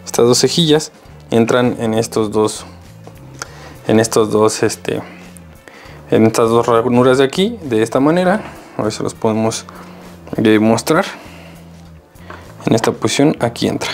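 A man's voice: mid-range voice, a little slow, vocal fry, pauses often. A man with a Mexican accent giving a casual, slangy hands-on tutorial as a motorcycle vlogger. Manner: informal, slangy. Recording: loud music behind the voice.

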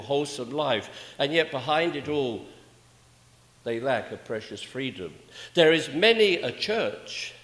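An older man preaching a sermon in a measured, rhetorical manner, with emphatic pauses and repeated phrases. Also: a little high, a bit bright. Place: indoors, small church at a pulpit. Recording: echoey church with long reverb.

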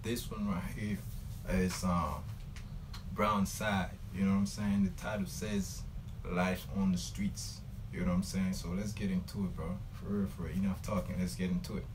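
Low-voiced young man over clear background noise. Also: lively intonation, normal speed. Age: young adult. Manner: slangy, repeats catchphrases. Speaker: music reaction video host. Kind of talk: casual reaction chat. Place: small room indoors. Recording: clearly noisy home recording.

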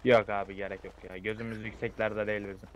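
A man's voice: fairly low pitch, little pitch movement, fast talker, dull audio, a little hiss or hum. A man in casual improvised game roleplay, talking in a slangy, protesting way.

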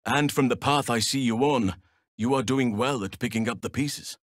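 An actor playing a gentle, solemn druid leader in a video game, speaking in a measured, formal, earnest manner. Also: male voice, mid-range voice, no room sound, studio quality, lightly acted. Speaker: video game companion character. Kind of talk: video game voice acting, formal fantasy dialogue.

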